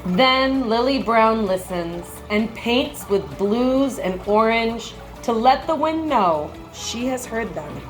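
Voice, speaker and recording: Slow-paced woman reading a picture book aloud. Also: a little high, music in the background, poor audio. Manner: measured, expressive storybook phrasing. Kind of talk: picture book read-aloud with brief comments.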